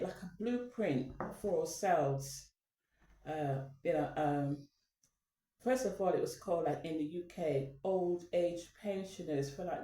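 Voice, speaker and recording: A woman's voice: lowish voice, warm tone, distant mic. A woman talking casually to her viewers, rambling with many fillers and restarts. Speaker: channel host. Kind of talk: casual spoken talk. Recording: echoey room, away from the mic.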